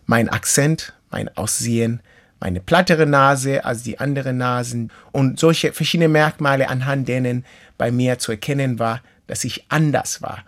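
A middle-aged man from Kenya, a guest in an interview, telling his life story in an earnest, measured and reflective way. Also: medium pitch, gravelly. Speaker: interview guest, author and teacher.

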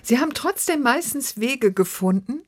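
A woman's voice: high-pitched, animated, normal speed, no room sound, studio quality. A woman interviewer asking questions and summarizing her guest's story in an attentive, prompting way.

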